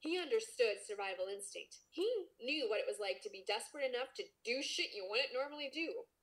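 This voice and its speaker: High-pitched, animated woman reading aloud. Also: fairly quick, poor audio. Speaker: podcast co-host reading a story aloud. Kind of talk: dramatic story reading with casual comic riffing.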